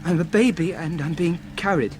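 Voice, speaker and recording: A man acts a youthful stable boy in a radio play, his voice fairly high over faint noise. He is earnest and troubled, pressing his questions insistently. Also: normal speed, darkish tone, natural voice. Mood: troubled, searching. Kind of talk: radio drama acting.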